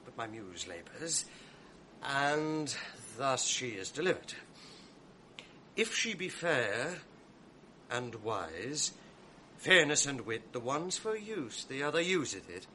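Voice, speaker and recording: An actor playing a scheming, sardonic male ensign in a 1980s radio drama, mocking and sly, sinking to a hushed mutter when plotting. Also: mid-range voice, very animated, slow, slight background noise, exaggerated voice.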